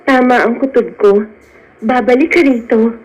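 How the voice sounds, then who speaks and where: High, pleading young sweetheart played by a woman. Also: normal speed, darkish tone, natural voice. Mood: gentle, pleading. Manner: pleading, emotional. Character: devoted young sweetheart, gentle dutiful daughter. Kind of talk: melodramatic Filipino radio drama acting. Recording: dull lo-fi radio sound.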